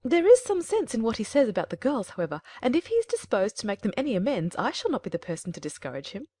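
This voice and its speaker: A fretful middle-aged wife for an audiobook drama. Her high voice is husky at the edges and hurries along in melodramatic, indignant complaint. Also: sing-song, fast talker, mellow, no room sound. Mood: grudging.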